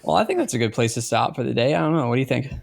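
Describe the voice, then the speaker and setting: A man's voice: mid-range voice, very animated, racing, vocal fry, a little hiss or hum. A man speaking as a podcast co-host in casual, conversational chat.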